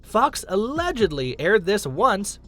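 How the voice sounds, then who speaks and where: A man's voice: sits high, sing-song, slow pace, fairly bright tone. A man reading scripted countdown narration as the host of a list video. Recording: music under the voice. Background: background music.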